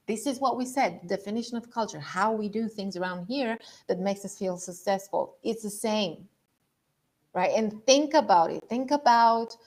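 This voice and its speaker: A middle-aged woman from Romania giving an online leadership masterclass, chatting through her slides with fillers over a video call. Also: mid-range voice. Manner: conversational, thinking aloud with fillers. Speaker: leadership coach.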